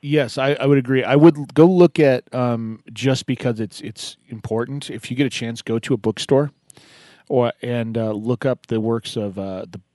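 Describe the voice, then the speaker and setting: A man's voice: medium pitch, moderate pace, dark tone, no echo, studio quality. A man hosting a radio talk show, chatting casually and encouragingly with a caller, rambling a little as he gives advice. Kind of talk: casual on-air chat with a caller.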